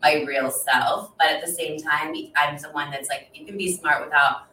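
A woman's voice: sits low, moderate pace, pushed and bright, slight background noise. A woman in her thirties chatting casually, with plenty of filler words. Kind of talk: casual chat. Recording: echoey room, mic at a distance.

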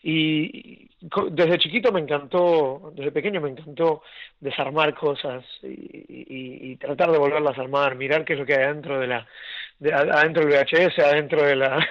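A man's voice: fairly high pitch, no echo, highs cut off. A young man with an Argentine accent, raised in Argentina and Venezuela, telling his story as an interview guest with many restarts and fillers. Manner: rambling, many restarts and fillers, laughs often.

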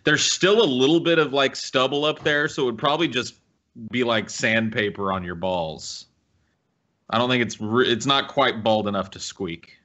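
A man's voice: mid-range voice, moderate pace, sharp tone. A man co-hosting a podcast, chatting casually with crude, profane jokes. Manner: joking, profane. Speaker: podcast co-host.

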